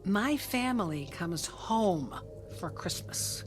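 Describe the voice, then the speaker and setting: Casting: flustered middle-aged mom, brusque yet caring, lively intonation, naturalistic drama with score behind. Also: female voice, mid-range voice, slow pace, over music. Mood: subdued. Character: curt middle-aged mother living alone, flustered but kindly. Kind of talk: naturalistic screen drama acting.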